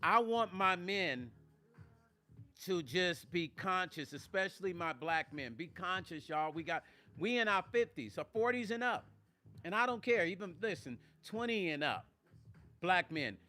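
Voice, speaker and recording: A man in his fifties hosting a casual livestream, reading viewer comments and talking straight to his audience in an animated, preachy way. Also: high-pitched, a little slow. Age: 50s. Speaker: vlog host reading live chat.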